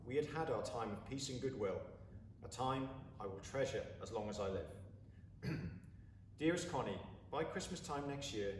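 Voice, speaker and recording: A man reading a story aloud with expressive, deliberate, dramatic phrasing. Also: lowish voice. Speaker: story reader. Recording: roomy, distant mic, faint background noise.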